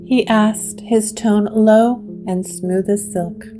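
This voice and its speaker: Slow, hushed woman narrator. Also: mid-range voice, steady tone, soft background music. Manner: slow, hushed, dramatic storytelling. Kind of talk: scripted gothic drama narration.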